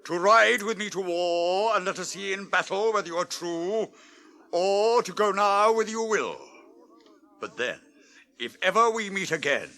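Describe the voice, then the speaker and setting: A man's voice: sits high, lively intonation, normal speed, pushed and bright, exaggerated voice. An actor with a British accent playing a proud, resolute old warrior king, declaiming solemnly in a fantasy radio play. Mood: grave, stern.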